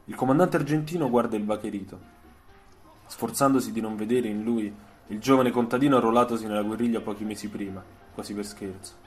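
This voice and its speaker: A man reading a scripted literary story for radio, in a measured, dramatic storytelling way. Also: lowish voice, faint music behind.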